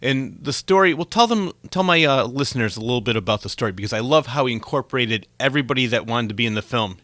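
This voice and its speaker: A man hosts a conversational interview show in a bright, forward voice of ordinary pitch. The sound is dry and close, with very little room around him. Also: expressive, fast talker, no echo.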